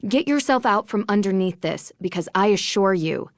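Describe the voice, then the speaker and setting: A woman playing a polite, probing young amateur detective in a video game, speaking earnestly and clearly, in a close dry recording. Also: medium pitch, lively intonation, moderate pace, no echo, natural voice. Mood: stern. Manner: earnest, articulate questioning. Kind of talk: scripted video game dialogue.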